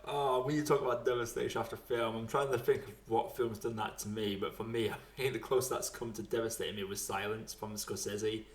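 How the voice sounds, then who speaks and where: A man's voice: on the low side, steady tone, brisk, hardly any pauses. A man hosting a casual film podcast, talking in a blokey, slangy way. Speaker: podcast host. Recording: echoey, roomy sound.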